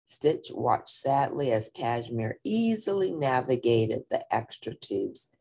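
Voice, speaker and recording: A woman reading a children's story aloud in an animated, dramatic, expressive narrating style. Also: a bass voice, takes it slowly, slightly rough.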